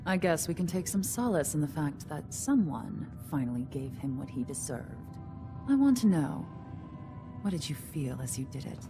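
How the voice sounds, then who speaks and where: An actress playing a haughty, calculating gang leader in a video game, refined, condescending and sardonic. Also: female voice, deep voice, big pitch swings, moderate pace, over music. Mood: sardonic, probing. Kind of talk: video game dialogue acting.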